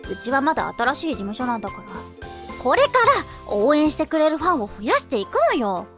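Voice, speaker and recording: A woman's voice: high voice, sing-song, brisk. A woman playing a confident, teasing teenage schoolgirl idol in an anime-style Japanese voice drama, with poised, coaxing feminine speech. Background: light tinkling children's music.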